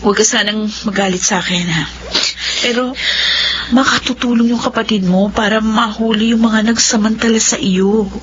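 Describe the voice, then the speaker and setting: A Filipino actress playing a gentle, pleading female friend in an earnest radio drama style. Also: fairly high pitch, steady tone, normal speed, close to an everyday voice. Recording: dull lo-fi radio, faint noise.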